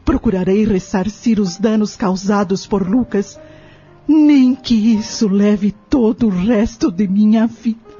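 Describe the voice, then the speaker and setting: A woman's voice: medium pitch, unhurried, warm tone, lightly acted. A woman playing a long-suffering middle-aged wife resolved to break free, speaking formally and solemnly in an audio novel. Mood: resolute. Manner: solemn, formal, literary phrasing. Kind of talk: dramatic audio novel acting. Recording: dull lo-fi audio with music behind. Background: music.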